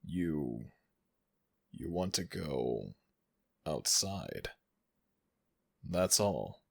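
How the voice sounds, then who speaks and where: A man voicing an ancient jackal god in an audio roleplay, gentle, teasing and fond toward the listener. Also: big pitch swings, exaggerated voice. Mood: playful warm. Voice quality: very deep gravelly voice, slow and soothing. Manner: affectionate, playfully self-mocking.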